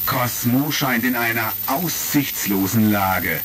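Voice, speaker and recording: A man's voice: medium pitch, slow pace, fairly bright tone. A man narrating a retro sci-fi radio play in a dramatic, scripted style. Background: music with vintage record crackle.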